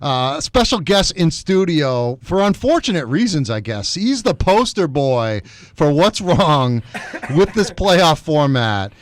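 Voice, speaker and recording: A man's voice: on the high side, big pitch swings, slow. A man hosting a sports radio show from the studio, chatty and wry with chuckling asides. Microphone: studio microphone.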